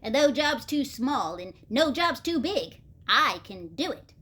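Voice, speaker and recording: A bossy lady pig, played by a woman from a children's tale. She sounds emphatic and helpful, with a high, very animated voice. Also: takes it slowly, breathy edge, heavily acted. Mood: bossy, eager. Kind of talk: children's storybook read-aloud.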